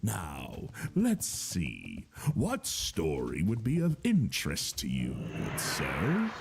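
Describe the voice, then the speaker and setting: A man's voice: sits low, wildly swooping pitch, vocal fry, background noise, voice pushed down, exaggerated voice. Man putting on a jovial old salesman. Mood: musing. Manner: slow, theatrical, grandly drawn-out. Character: jovial old male mysterious book salesman. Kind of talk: storytelling narration.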